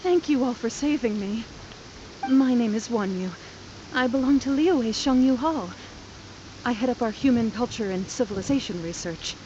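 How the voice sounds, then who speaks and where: Actress as a high, lively-voiced polite young scholar, dull-sounding recording. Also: female voice, moderate pace, slight background noise, lightly acted. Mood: shaken. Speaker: researcher. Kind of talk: video game dialogue.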